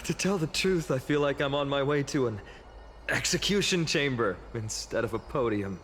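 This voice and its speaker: A man's voice: mid-range voice, lively intonation, moderate pace, a bit bright, slight background noise, natural voice. A young man playing an earnest, humble village hero in a video game, speaking sincerely and politely. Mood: hesitant, nervous. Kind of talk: scripted game voice acting. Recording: music behind the voice.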